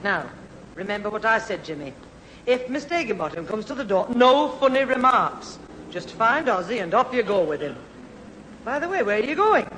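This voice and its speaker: Long-suffering mother, lively intonation. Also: a little high, normal speed. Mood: fond warning. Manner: scolding but indulgent. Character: long-suffering, scolding Northern mother of a cheeky boy. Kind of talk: 1970s radio sitcom acting. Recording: echoey radio theatre, loud audience, hiss.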